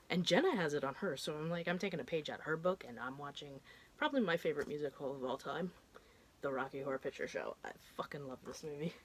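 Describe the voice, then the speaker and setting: A woman in her thirties, stuffed up and congested, chatting casually and rambling a bit as she talks through what she has watched, with the odd casual swear. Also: low voice. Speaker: horror film vlogger. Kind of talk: casual chat.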